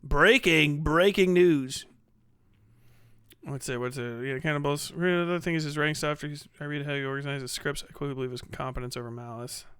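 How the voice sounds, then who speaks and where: A man's voice: fairly high pitch, normal speed, a bit bright, clear, resonant tone, no echo, studio quality. A man hosting a casual livestream, chatting and replying to viewers in slangy, profane language. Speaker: livestream host. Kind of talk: casual livestream chat, reading and answering viewer comments.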